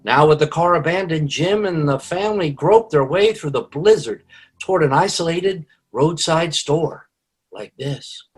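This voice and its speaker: A man giving wry, scripted narration for a radio comedy, with deadpan asides. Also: fairly high pitch, measured pace, breathy edge. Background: music.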